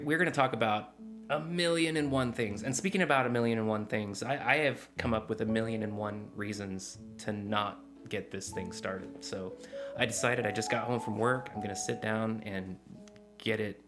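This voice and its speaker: A man in his forties talking earnestly in a casual confessional vlog, rambling with fillers and restarts, recorded on a desk mic in a small dim room at home. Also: lowish voice. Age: 40s. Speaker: vlogger. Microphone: desk mic in front, close camera framing.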